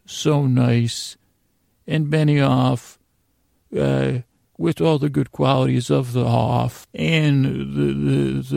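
A man hosting a solo bedtime podcast in a meandering, halting monologue, often interrupting himself and drifting into asides. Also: mid-range voice, dark tone, no echo, clean studio sound. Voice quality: gravelly, creaky. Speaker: podcast host.

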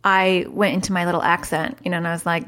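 A woman hosting a solo podcast, talking in a chatty, upbeat way with lively jumps in pitch. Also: fast. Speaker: podcast host, parent.

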